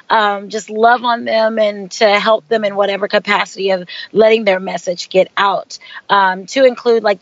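A young woman raised in Hawaii, answering interview questions in an earnest way with many pauses and ums. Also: medium pitch, no room sound, dull audio. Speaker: guest segment producer.

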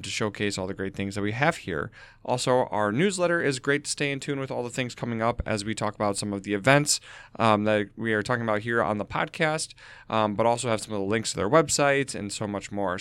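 Guy doing podcast announcements, kinda gravelly from a sore throat, lowish voice, dry close sound. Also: a bit bright, no room sound. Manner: upbeat, promotional, frequent ums. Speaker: podcast host. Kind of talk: casual podcast chat and read announcements.

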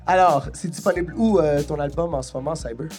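A man's voice: a little high, fairly quick, a little mellow, soft background music. A man hosting a podcast, teasing his guests in a playful way. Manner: teasing, playful, mixes English. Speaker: podcast host. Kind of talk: casual podcast chat.

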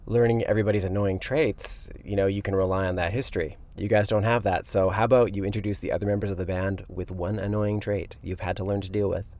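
A man's voice: lowish voice, fairly even intonation, fast talker, hardly any pauses, darkish tone. A man hosting an interview show, putting questions in a casual, conversational way. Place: inside a tour van.

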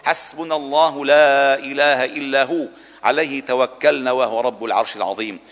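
A long reverberant tail surrounds this man's mid-pitched voice. He speaks as a mosque preacher giving a sermon and prayer. His delivery is solemn and formal, with measured rhetorical phrasing. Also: steady tone, fairly bright tone. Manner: solemn, formal rhetorical cadence, insistent repeated pleas. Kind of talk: Arabic Friday sermon and supplication. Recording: long echoing reverb of a large hall.

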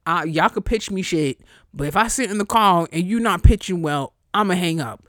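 Animated chatty woman podcaster. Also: moderate pace, dry recording, studio quality. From New York area. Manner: chatty, animated, swings up high when excited; profane, blunt asides to listeners. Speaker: podcast host. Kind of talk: solo casual podcast chat.

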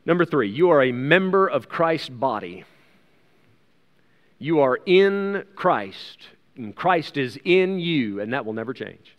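American man, slightly high voice, conversational sermon, rhetorical questions, long hall reverb. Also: very animated, takes it slowly, muffled recording. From United States. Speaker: preacher. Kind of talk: sermon with scripture reading. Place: large reverberant room, preaching to a congregation.

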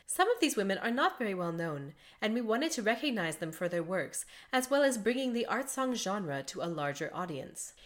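A woman's voice: mid-range voice, sing-song, moderate pace, few pauses, fairly bright tone. A woman hosting a podcast, a mezzo-soprano telling a composer's life in a chatty way, laughing often between facts. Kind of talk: conversational podcast storytelling.

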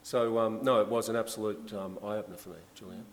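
A man's voice: on the low side, quick pace. A man, a journalist, talking casually on a discussion panel with many fillers. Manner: relaxed, conversational, frequent fillers. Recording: long hall reverb, faint background noise.

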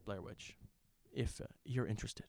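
A man co-hosting a podcast, chatting casually about reviews in measured, hesitant phrases. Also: lowish voice, creaky, a little mellow. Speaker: podcast co-host.

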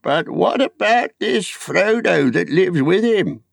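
A nosy old village hobbit gossips with suspicion in a storybook tale. He sounds querulous and rustic, pitched well above the reader's natural voice, with a very lively melody. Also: slow pace, vocal fry, no echo, clean studio sound, exaggerated voice. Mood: suspicious, gossipy.

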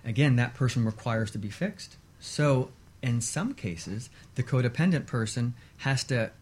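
A man speaking as an expert guest in a radio interview, explaining in a measured way with short repeated lists. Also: medium pitch, expressive, darkish tone, slight background noise.

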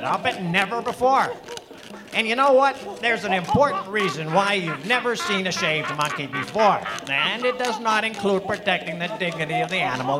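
A man playing a brash, glib showman of a wildlife field reporter in a mock live radio broadcast. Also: high-pitched. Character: Buck Logic, excitable brash male field reporter of a wildlife show.

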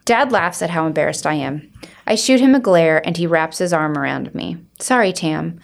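A woman reading a children's book aloud as an expressive storyteller, acting out the dialogue. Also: sits low, normal speed, creaky.

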